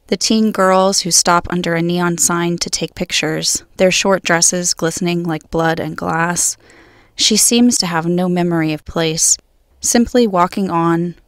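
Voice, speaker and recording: A woman poet reading her own poems in a measured, solemn way. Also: lowish voice, no echo, studio quality. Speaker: guest poet. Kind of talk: poetry reading.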